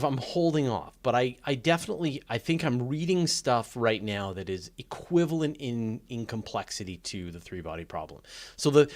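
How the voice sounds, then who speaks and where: A man hosting a live question-and-answer stream in casual, unscripted speech, haltingly restarting his phrases as he rambles along. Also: fairly low pitch. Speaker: host of a live astronomy Q&A stream. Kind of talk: casual, unscripted livestream chat.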